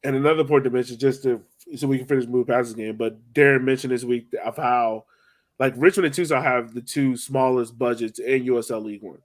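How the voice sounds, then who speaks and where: A man's voice: medium pitch, steady tone, a little fast. Man chatting casually about sports. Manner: rambling, frequent "like" and "you know". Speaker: soccer podcast host.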